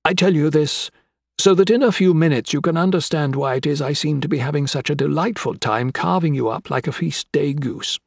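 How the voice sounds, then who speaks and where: A man voicing an older gentleman villain in an audiobook, with florid, mock-courteous, taunting speech. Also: fairly high pitch, a little fast, creaky, breathy edge, no room sound, studio quality, voice pushed up. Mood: cold threat. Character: menacing, theatrical old gentleman crime boss, mocking and sentimental. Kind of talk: dramatic audiobook character acting.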